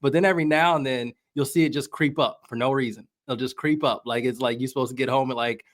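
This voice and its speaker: A man's voice: medium pitch, quick pace, no room sound. Wry American man, dry close sound. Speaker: podcast guest, soccer journalist. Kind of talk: casual conversational commentary.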